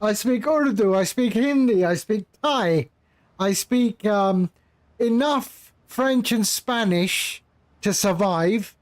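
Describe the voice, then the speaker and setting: Flight sim streamer chatting w viewers, slow easy pace, keeps repeating stuff, mid pitch. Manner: patient explainer, repeats phrases, chats with viewers. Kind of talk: casual live-stream commentary.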